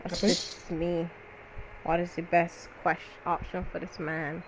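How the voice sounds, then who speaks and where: A woman's voice: on the low side, level tone, slow, noisy background. A woman giving casual gameplay commentary with blunt, exclamatory reactions in colloquial English. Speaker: gamer reacting to a story game.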